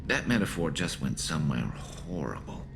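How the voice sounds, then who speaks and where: A man voicing an awkward, earnest alien soldier in a video game, gravelly, hesitant and dryly wry. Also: rumbling low, very animated, moderate pace, background noise, full character voice. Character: awkward, earnest male alien soldier, battle-scarred and loyal.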